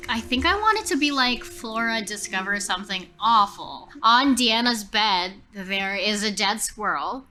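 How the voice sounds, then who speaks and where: A woman's voice: mid-range voice, lively intonation, unhurried, few pauses, sharp tone. A woman improvising horror story narration as a player in a tabletop game, thinking aloud expressively. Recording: music behind the voice.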